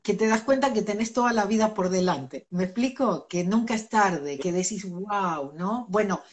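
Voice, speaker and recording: Middle-aged woman guest, earnest, mid-pitched talk with easy laughter. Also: animated, normal speed. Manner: earnest, laughs between points. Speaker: interview guest, spiritual teacher. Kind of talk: reflective conversational interview.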